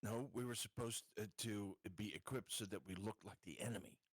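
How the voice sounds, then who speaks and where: A man playing a tabletop roleplaying game, halting and wry, slipping casually into his character's lines. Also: on the low side, no echo.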